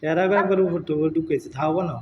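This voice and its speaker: A man's voice: a little high, quick pace, noisy background. A middle-aged man, talking casually with his daughter on a phone call in rambling, mumbled replies. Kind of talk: casual family phone chat. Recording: echoey, muffled phone call.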